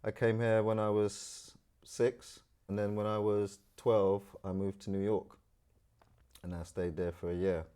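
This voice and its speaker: Trinidad-born man telling lively stories. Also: lowish voice, a little slow, clean tone. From Trinidad and Tobago. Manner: animated storyteller, London street slang. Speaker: guest. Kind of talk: casual storytelling interview.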